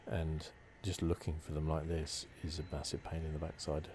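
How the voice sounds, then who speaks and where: Really deep guy doing asmr game playthrough, laid back rambling, game noise going on behind. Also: monotone, moderate pace, darkish tone. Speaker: gaming channel host. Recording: clear game ambience behind close commentary. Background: video game sounds.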